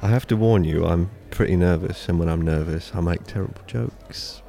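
Nervous irish guy on a date, lowish voice with lots of fry, awkward self-mocking jokes. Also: normal speed, warm tone. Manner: hesitant, joking. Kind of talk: audio drama acting, naturalistic dialogue.

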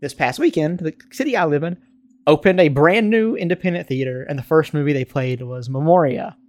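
An American man chatting casually and telling stories in a rambling, animated way. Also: on the high side, fairly quick, dry recording. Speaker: podcast co-host. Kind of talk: casual chat, storytelling.

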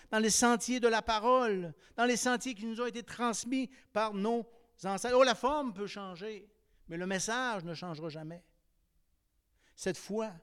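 A man's voice: high voice, clear, resonant tone, dry recording, studio quality. Emphatic Québécois preacher in his fifties. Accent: Québécois French. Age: 50s. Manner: emphatic, swinging between raised exhortation and quiet confiding. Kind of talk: sermon.

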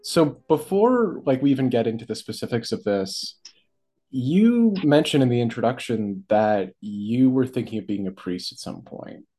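Man, young adult, podcast host, casual chatty talk, warm dark voice, faint guitar music. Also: medium pitch, animated, moderate pace. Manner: chatty, many fillers like "you know" and "like".

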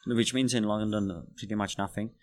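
A man's voice: lowish voice, brisk. Czech-born man telling bartending stories. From Czech Republic. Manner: animated storyteller, laughs while telling anecdotes. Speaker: guest bartender. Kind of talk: casual interview storytelling.

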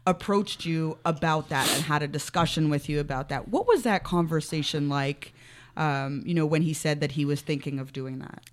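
Smooth-voiced woman co-hosting. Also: low-pitched, moderate pace. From Canada. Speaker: sports interview co-host. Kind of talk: conversational interview.

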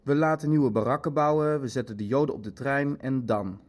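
An actor playing a pragmatic, calculating Nazi official in a radio play, talking in a clinical, matter-of-fact way. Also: male voice, medium pitch, brisk, darkish tone, close to an everyday voice. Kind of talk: Dutch radio play dialogue.

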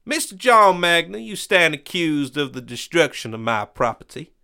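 A man's voice: fairly high pitch, very animated, moderate pace, gravelly, sharp tone, smooth, pitched above the performer's own voice, heavily acted. A man voicing a pompous, cruel and cowardly rich land baron in a western story, with haughty, showy speech. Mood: mocking.

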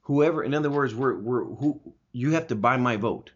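A man with a rough, husky voice at a medium pitch talks as a show host. He explains his views with emphasis and often asks rhetorical questions. The audio is dull and a little lo-fi. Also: normal speed. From Germany. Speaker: talk show host answering listener questions. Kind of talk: opinionated conversational commentary.

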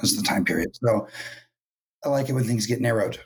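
Hoarse male writer guest, husky and strained, thoughtful pauses and many ums. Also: lowish voice. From California. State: hoarse, lost voice. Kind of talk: casual podcast interview.

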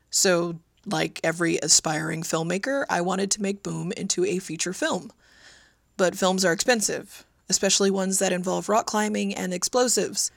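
A woman's voice: on the low side, creaky, no echo, studio quality. A woman writer and producer giving a personal first-person account for a podcast.

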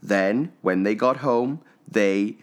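A man narrating a children's story expressively, with theatrical phrasing and deliberate pauses. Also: lowish voice.

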